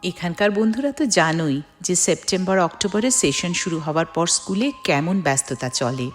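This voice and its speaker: A middle-aged woman narrates her personal vlog. She talks to viewers as friends, with soft music behind her. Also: fairly low pitch, animated, moderate pace. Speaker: vlogger. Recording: music under the voice. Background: background music.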